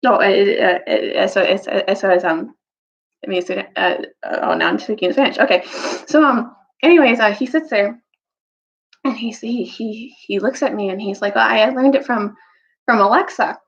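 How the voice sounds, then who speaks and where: A woman with a noticeably creaky voice at a middling pitch talks like a teacher sharing storytime. Her delivery is informal and halting, with phrases she breaks off and restarts. The recording sounds slightly dull and lo-fi. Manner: halting, informal, self-interrupting. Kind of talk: casual chatty storytime, reading a story aloud.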